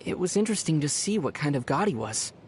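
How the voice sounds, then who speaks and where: A man playing a young adventurer hero in a video game, with a youthful voice and a measured, thoughtful, gently wry manner. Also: fairly high pitch, very animated, slight background noise. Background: music.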